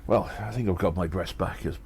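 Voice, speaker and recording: A man playing a weary gnome investigator in an audio drama, musing haltingly and deliberately with breathy sighs. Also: low voice, a little mellow. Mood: tired, resolved. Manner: slow, deliberate, halting, pondering. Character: weary gnome investigator reporting on a spy conspiracy. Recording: faint background noise.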